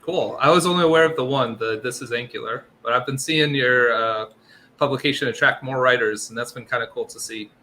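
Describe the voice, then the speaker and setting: Bright-voiced upbeat male host. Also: medium pitch, fairly quick, slight background noise. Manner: upbeat, friendly, drawling "y'all". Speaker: podcast host. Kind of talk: casual tech chat.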